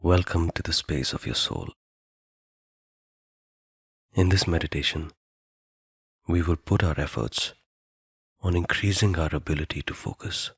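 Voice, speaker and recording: A man guiding a meditation in a soothing, deliberate manner, leaving long pauses between phrases. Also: deep voice, creaky, breathy edge, dry recording, studio quality. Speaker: meditation guide. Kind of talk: guided meditation narration.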